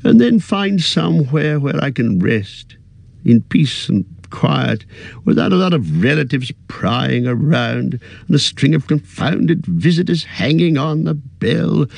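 Fussy old hobbit voiced by a male narrator, high and quavering, husky edge, dry close sound. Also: lively intonation, a little slow, a little mellow, no room sound, exaggerated voice. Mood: wistful. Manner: high quavering, petulant and defensive. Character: fussy elderly male hobbit, turning possessive.

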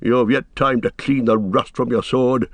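A man's voice: mid-range voice, steady tone, moderate pace, dry recording, natural voice. A man voicing an aged warrior king, proud and resolute, declaiming in stern, formal, archaic speech for a storybook.

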